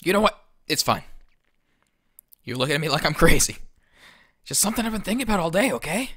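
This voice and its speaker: A man's voice: a little high, big pitch swings, fast, a bit bright, no room sound, studio quality. A man playing an awkward, crude teenage boy in an audio drama, rambling and hesitant. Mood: awkward, curious. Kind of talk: podcast audio drama acting.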